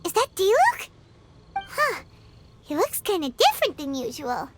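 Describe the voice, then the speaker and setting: Tiny fairy companion girl, extremely high squeaky childlike voice, wildly swooping intonation, chatty, excitable, video game acting. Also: unhurried, very noisy, exaggerated voice. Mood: amazed. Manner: refers to self in third person, chatty, exclaiming. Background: game music.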